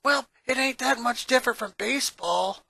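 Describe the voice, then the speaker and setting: A story reader voicing a cocky, hot-tempered sporty tomboy girl with a brash, forceful manner. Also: mid-range voice, normal speed, gravelly, no echo, pitched above the performer's own voice. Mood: casual.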